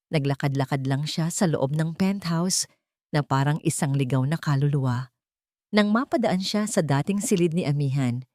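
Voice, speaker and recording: A woman's voice: low-pitched, dark tone, no room sound, studio quality. A woman reading a scripted romantic drama as its narrator, in a dramatic storytelling style.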